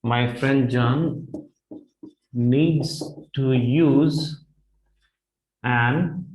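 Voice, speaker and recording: A man in his thirties with an Indian English accent, teaching vocabulary at a whiteboard. He repeats phrases slowly and keeps checking in with "right?". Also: mid-range voice, darkish tone. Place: classroom in front of a whiteboard. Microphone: lapel mic. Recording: echoey classroom.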